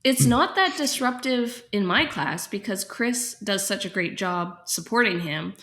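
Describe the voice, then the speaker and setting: A woman's voice: mid-range voice, lively intonation, measured pace, a bit bright. A woman playing a concerned, slightly overwhelmed special education teacher, talking candidly and hesitantly in naturalistic dialogue. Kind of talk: naturalistic acted interview dialogue.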